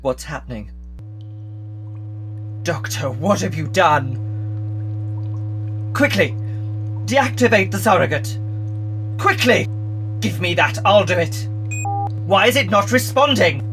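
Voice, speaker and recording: An actor in an audio drama playing a furious villain, shouting slow, commanding orders at minions. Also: medium pitch, very animated, faint music behind, full character voice, rough recording. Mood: frantic fury.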